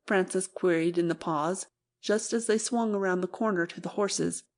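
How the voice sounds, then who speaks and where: A woman's voice: lowish voice, normal speed. A woman reading classic fiction as a scripted audiobook narrator.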